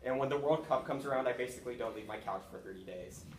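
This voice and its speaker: A man's voice: medium pitch, fast talker. A young man, giving an earnest prepared speech to classmates in a school hall, with small restarts now and then. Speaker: student speaker. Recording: echoey room with faint noise.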